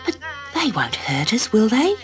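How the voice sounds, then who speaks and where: A woman's voice: on the high side, huge pitch swings, measured pace, faint music behind, voice pushed up. A woman voicing a small, curious girl who speaks eagerly and earnestly. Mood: fearful. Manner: eager, earnest, wide-eyed. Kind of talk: storybook character voice.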